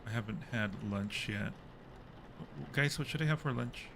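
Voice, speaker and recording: A man's voice: mid-range voice, moderate pace, gravelly, background noise. A man hosting a casual live game stream, chatting playfully with viewers and muttering to himself in a jumpy way as he plays.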